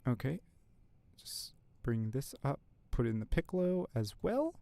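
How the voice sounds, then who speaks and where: A man's voice: medium pitch, very animated, unhurried, creaky, warm tone, dry recording. A man composing music on a live stream, thinking aloud in a casual, rambling way with many fillers. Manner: talks to chat, reads out viewer comments. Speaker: composer streaming his work.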